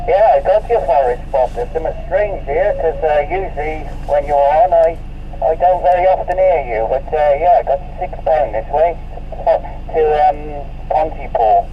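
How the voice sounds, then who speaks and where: A man's voice: a little high, moderate pace. Matey man on muffled CB radio. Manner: matey, informal, acknowledging signals. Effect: CB radio transmission. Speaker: CB radio operator. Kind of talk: casual radio chat. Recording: muffled distant CB radio, faint noise.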